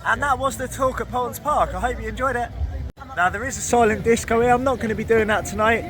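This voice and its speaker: A man vlogging at a theme park in the evening, chatty and tired, his voice hoarse from a cold. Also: extremely high pitch, quick pace, a loud crowd, very noisy. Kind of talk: casual vlog to camera.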